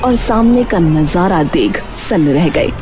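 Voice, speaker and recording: A woman's voice: lowish voice, lively intonation, measured pace. A woman reading scripted story narration for a cartoon, over background music. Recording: loud music under the voice.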